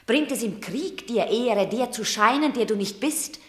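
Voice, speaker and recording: A woman's voice: a little high, lively intonation, unhurried, roomy sound. An actress playing a shrewd, commanding mother urging her son in a radio drama.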